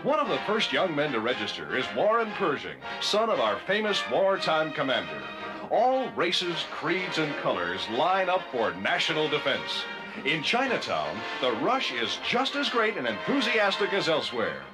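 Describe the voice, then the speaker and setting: A man's voice: on the high side, wildly swooping pitch, moderate pace, poor audio. An American man delivering jaunty, theatrical 1940s newsreel narration on a vintage film soundtrack. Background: orchestral music.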